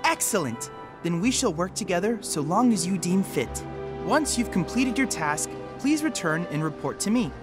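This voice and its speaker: An actor playing a boyish, earnest research intern in a video game, speaking formally and precisely in a slightly robotic, analytical way, over music. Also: male voice, high voice, sing-song, moderate pace. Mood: pleased, formal. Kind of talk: video game character acting.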